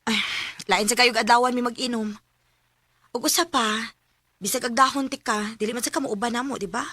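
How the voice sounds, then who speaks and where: A woman's voice: fairly high pitch, fairly even intonation, moderate pace, pushed and bright, dry recording. A woman acting in a radio drama, carefree and defiant in manner.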